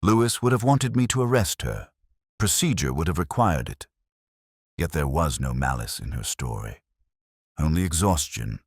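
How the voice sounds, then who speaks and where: Male actor as world-weary English detective narrator for radio-style mystery: extremely low, husky, solemn and reflective. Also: lively intonation, no room sound, clean studio sound, exaggerated voice. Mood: melancholy, reflective. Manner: solemn, wistful, measured. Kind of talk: first-person literary mystery narration.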